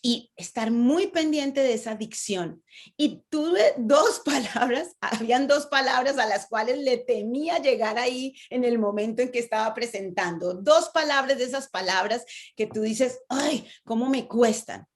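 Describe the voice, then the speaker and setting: A woman's voice: fairly high pitch, measured pace. A woman with a Latin American Spanish accent giving an engaging talk on stage fright, often asking rhetorical questions and tagging "¿no?". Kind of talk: conversational talk with a guest.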